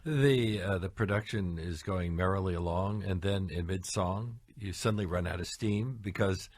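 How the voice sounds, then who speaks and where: A man's voice: low voice, moderate pace, no echo. A man hosting a radio interview, asking curious, playful questions. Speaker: radio host.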